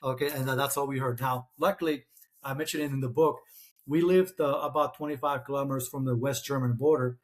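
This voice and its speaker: A middle-aged man originally from Czechoslovakia, whose first language is Czech, answering interview questions. His voice sits at an ordinary middle pitch. He stumbles and restarts often, padding his sentences with fillers. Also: steady tone, brisk. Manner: hesitant, many restarts and fillers. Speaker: author and guest. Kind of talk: conversational interview.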